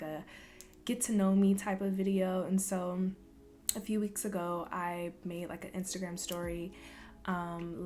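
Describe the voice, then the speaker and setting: Soft-spoken young woman vlogger. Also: on the low side. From Louisville, Kentucky. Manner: soft-spoken, relaxed, frequent ums. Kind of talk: casual Q&A chat.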